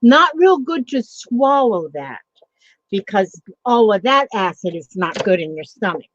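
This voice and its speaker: A woman's voice: medium pitch, big pitch swings, unhurried, a little hiss or hum. A woman hosting a casual livestream chat, rambling along with frequent ums and greeting viewers by name. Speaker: livestream host. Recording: dull lo-fi sound.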